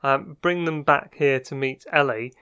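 A man co-hosting a podcast in a small homemade studio, chatting casually with a hesitant, halting delivery. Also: medium pitch, pushed and bright, clean tone, muffled recording. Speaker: podcast co-host.

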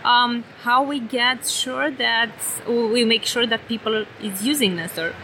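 A woman's voice: fairly high pitch, fairly even intonation, a little slow, pushed and bright, slight background noise, poor audio. A woman from Romania with a Romanian accent, speaking English as a second language in an earnest conference floor interview as a company executive. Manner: earnest, repeats phrases, non-native phrasing. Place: busy trade show floor.

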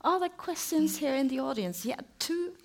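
A woman journalist moderating a panel discussion and calling on the audience, speaking in a brief, measured way. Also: high-pitched, lively intonation, creaky, a little mellow. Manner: brief, measured, with attentive backchannel murmurs. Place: stage at a public event with an audience.

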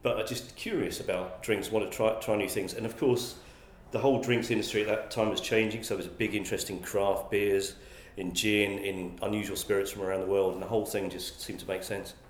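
Man with a lowish voice, roomy sound. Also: steady tone, a little fast, hardly any pauses, slight background noise. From England. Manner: thoughtful, frequent restarts and repeated words. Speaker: guest, magazine publisher. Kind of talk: conversational interview answers.

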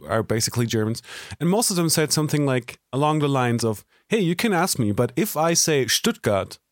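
A man's voice: medium pitch, expressive, fairly quick, dry recording, clean studio sound. A man talking casually in a discussion, often quoting what other people have said. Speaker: discussion participant.

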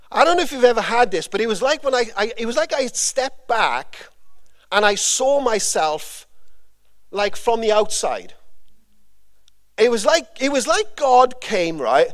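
A Welsh man giving a conversational sermon with a personal testimony, rambling and informal with frequent fillers and restarts. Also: sits high. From Wales. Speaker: preacher.

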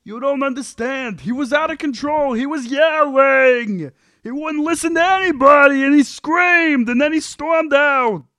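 A very high-voiced, whiny grown son from a sitcom acted out by a man. He takes his time, pleading and making defensive excuses with emphasis, in a dry recording. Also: unhurried, pushed and bright, no echo, exaggerated voice. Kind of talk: sitcom table read.

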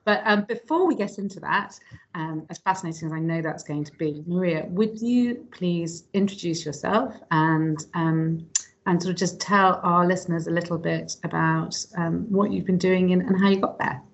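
A woman's voice: lowish voice, moderate pace, creaky, highs cut off. A woman hosting a podcast, effusive and rambling, with many ums and repeated words. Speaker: podcast host. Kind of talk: podcast interview.

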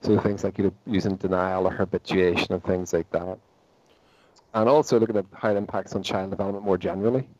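A man's voice: low-pitched, fairly even intonation, fast, darkish tone, highs cut off. A Northern Irish man in his forties, a professor answering interview questions in a thoughtful way, often restarting his sentences. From Northern Ireland. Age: 40s. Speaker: guest, psychology professor.

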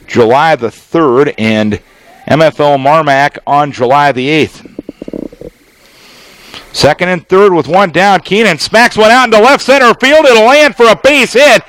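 A man with an American accent giving live baseball play-by-play on the radio, in measured, descriptive phrases with pauses between them. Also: on the high side, a bit bright, rough recording. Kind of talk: live sports play-by-play commentary.

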